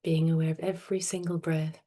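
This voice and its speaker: A woman teaching meditation, speaking softly and soothingly with unhurried pauses. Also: low-pitched, flat delivery. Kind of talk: guided meditation.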